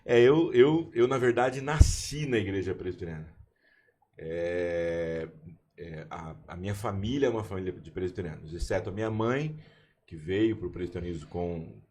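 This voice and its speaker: Pastor in his forties, low voice. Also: moderate pace. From Brazil. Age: 40s. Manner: warm, storytelling, with many restarts. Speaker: university chaplain and pastor. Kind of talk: casual podcast conversation.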